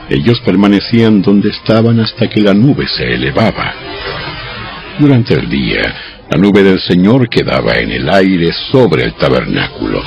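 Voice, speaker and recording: Deep, gravelly male scripture narrator. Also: lo-fi sound. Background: music.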